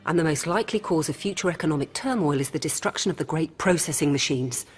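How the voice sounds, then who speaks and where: Woman playing a cool, low-voiced female robot hybrid. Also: lightly acted. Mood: insistent, rational. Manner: measured, formal, didactic. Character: calm, coolly rational female robot hybrid in human guise. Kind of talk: radio drama acting. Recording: music behind.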